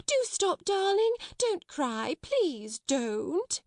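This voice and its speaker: A woman's voice: extremely high pitch, very animated, very slow, no echo, clean studio sound, pitched above the performer's own voice, heavily acted. A woman voicing a helpless, flustered schoolteacher in a storybook, wavering between coaxing and scolding. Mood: frantic pleading. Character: flustered, helpless plump woman teacher pleading with a child.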